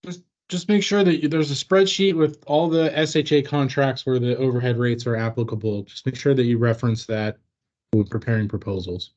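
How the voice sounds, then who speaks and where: Casting: man as a senior colleague, mid-pitched, informal meeting remarks, dull lo-fi sound. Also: steady tone, a little fast, a little mellow.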